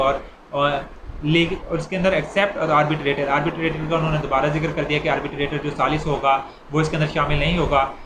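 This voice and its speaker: Pakistani male lecturer, slightly high voice, halting explanatory delivery, recorded in an echoey room. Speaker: law lecturer. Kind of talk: explanatory lecture, informal and bilingual. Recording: echoey room, clear background noise.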